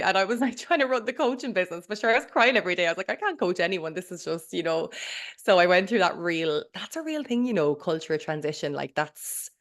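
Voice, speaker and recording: Irish woman, 30s, low voice; chatty guest who rambles, self-interrupts and swears. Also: a bit bright. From Ireland. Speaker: mindset coach, podcast guest. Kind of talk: casual interview chat.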